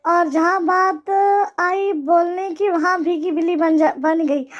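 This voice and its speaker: Woman voicing cocky young man, mocking and playful, high voice, a bit hoarse. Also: steady tone, takes it slowly, a bit bright, muffled recording, full character voice. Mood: teasing.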